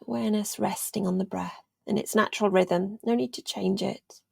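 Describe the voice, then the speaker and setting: A woman leading a guided meditation in a gentle, soothing, unhurried way, leaving long pauses and letting phrases trail off almost to a whisper. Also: medium pitch, steady tone, gravelly, no room sound. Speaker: meditation guide, yoga teacher.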